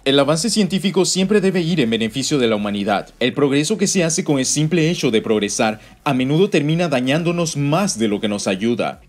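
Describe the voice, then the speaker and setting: A man delivering scripted explainer narration as a video narrator, speaking in a deliberate, instructive way. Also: medium pitch. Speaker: video narrator and game theorist.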